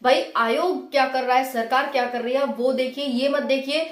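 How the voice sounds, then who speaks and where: A woman's voice: high-pitched, steady tone, normal speed, roomy sound. A woman hosting an informal explainer for viewers, conversational and often checking in with rhetorical questions. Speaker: host of a government exam news channel.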